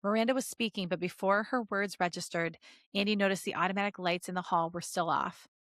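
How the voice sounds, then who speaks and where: A woman podcast host reading fan fiction aloud as an expressive story narrator. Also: medium pitch, fairly quick, no echo, studio quality.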